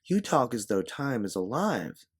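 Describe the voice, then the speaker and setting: A man reads as a young woman in an audio story. She is gentle and inquisitive, and her pitch rises and falls freely. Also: sing-song, unhurried, slightly rough. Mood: curious. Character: curious, gentle young woman offering shelter.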